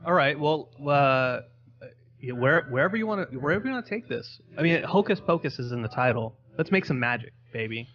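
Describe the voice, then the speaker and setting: Deadpan man from California, dull lo-fi audio. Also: mid-range voice, brisk, a little hiss or hum. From Southern California. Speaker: podcaster, guest co-host. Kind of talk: comic banter with tongue-in-cheek tall tales.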